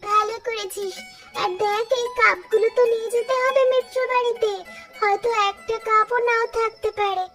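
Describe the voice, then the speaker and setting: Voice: extremely high pitch, fairly even intonation, moderate pace, over music. An actor voicing a chatty, teasing young village girl in a cartoon story. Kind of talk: Bengali cartoon story dialogue.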